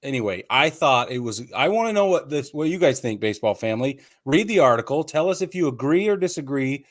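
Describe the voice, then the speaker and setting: A man's voice: mid-range voice, sing-song, fairly quick. Opinionated male sports podcast co-host. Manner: opinionated, explanatory, builds an argument point by point. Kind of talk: casual sports podcast discussion.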